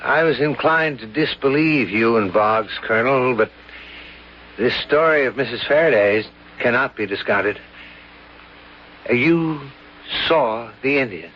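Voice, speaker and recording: An actor playing a reserved, precise zoology professor in a radio drama, speaking in a measured, hesitant way. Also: male voice, medium pitch, close to an everyday voice. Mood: grave, probing. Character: reserved zoology professor, reluctant believer in ghosts. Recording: dull, faintly noisy old radio broadcast.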